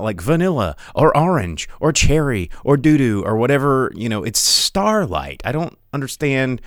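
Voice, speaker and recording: A man's voice: mid-range voice, no room sound, clean studio sound. A man hosting a podcast livestream in a casual, rambling way, with lots of ums and restarts and a loose, jokey tone. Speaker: podcast host.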